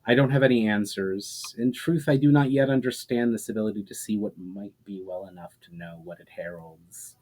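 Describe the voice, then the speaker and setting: A man's voice: fairly low pitch, steady tone, moderate pace, hardly any pauses, a little mellow, natural voice. A man voicing an earnest, troubled mage in a storybook reading, grave and explanatory in manner. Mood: doubtful, worried. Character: troubled, earnest male mage haunted by visions.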